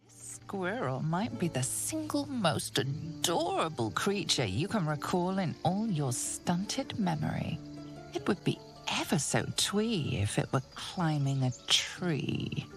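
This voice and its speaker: An actress playing a sly, sardonic narrator in a dark fantasy game, speaking in dramatic second person. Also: female voice, on the low side, big pitch swings, slow, music in the background, full character voice. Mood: sly, ominous.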